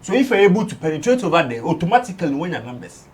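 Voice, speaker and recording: A man's voice: on the high side, sing-song, very fast. A man with a Ghanaian accent giving his views on a studio entertainment show, switching between English and Twi. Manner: mixes English with Twi, emphatic. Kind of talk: casual studio discussion.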